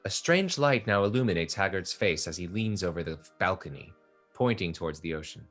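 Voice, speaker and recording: Very deep-voiced male stage narrator. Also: expressive, brisk, a bit bright, soft background music. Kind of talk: scripted narration of a play.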